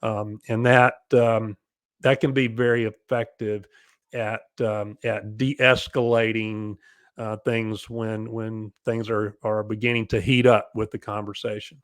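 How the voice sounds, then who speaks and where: A middle-aged man with a booming bass-baritone voice, giving advice in a conversational interview and often repeating words as he goes. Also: little pitch movement, unhurried, no echo, studio quality. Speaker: guest expert advising parents.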